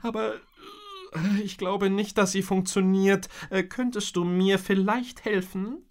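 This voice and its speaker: A man's voice: high voice, fairly even intonation, measured pace, a little mellow, voice pushed up. A male narrator voicing a flustered mother who stammers and hesitates. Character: flustered mother tangled in yoga.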